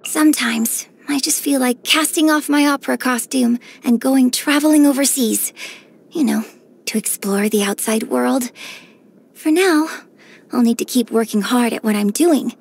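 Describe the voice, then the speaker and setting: A woman voicing a graceful, gentle and cheerful young female opera singer in a game, with polite, elegant diction. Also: a little high, normal speed, gravelly, breathy edge. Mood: wistful. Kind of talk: scripted game character voice lines. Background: background music.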